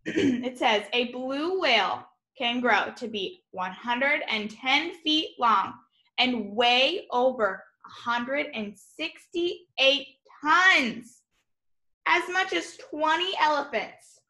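A young woman reads aloud to children like an elementary teacher. Her voice is fairly high and very bright, and she takes her time with each phrase. She is expressive, slipping informal asides and simple explanations in between the lines of the book. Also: slow, poor audio. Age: young adult. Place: room at home, indoors.

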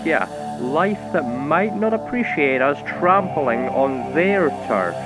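Man acting a slow, sardonic space colonist. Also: a little high, big pitch swings, over loud music. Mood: sardonic, wary. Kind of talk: sci-fi audio drama acting.

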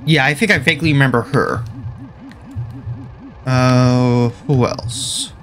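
A man with a nasal, mid-pitched voice gives low-key, casual game commentary. He is close on the mic, with game music playing behind him. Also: slow. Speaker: game streamer. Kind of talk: casual let's play commentary. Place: small room indoors. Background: game music and sounds.

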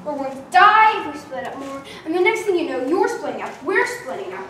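A woman's voice: sits high, lively intonation, brisk, fairly bright tone, slight background noise. A girl acting in a student horror film, playing a nervous girl who rambles anxiously and frets about danger. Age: young. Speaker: young actor in a student film. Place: empty warehouse, indoors. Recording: echoey empty warehouse, camera far off, music over.

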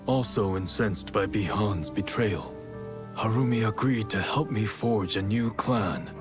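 An actor playing a solemn, honourable martial-arts clan leader, narrating formally and with restraint in a video game. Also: male voice, fairly low pitch, a little slow, over music, lightly acted. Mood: solemn, resolute.

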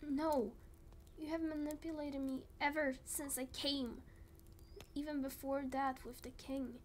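Woman as timid, high-voiced hurt young daughter. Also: little pitch movement, unhurried, slight background noise. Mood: hurt, accusing. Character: frightened, hurt young daughter, pleading and wary. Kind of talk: amateur Minecraft roleplay voice acting.

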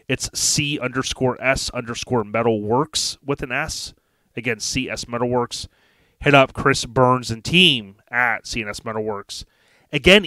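A man's voice: medium pitch, dry recording. Chatty man hosting a podcast. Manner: relaxed, chatty, friendly. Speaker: podcast host. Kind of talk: casual podcast interview.

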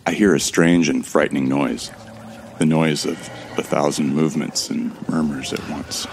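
Weary doomed man telling his story, super deep voice, grave, audio drama, noisy sound behind. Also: fairly even intonation, moderate pace, creaky. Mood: resigned, weary. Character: resigned weary man narrating his own doomed flight. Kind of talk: first-person audio drama narration.

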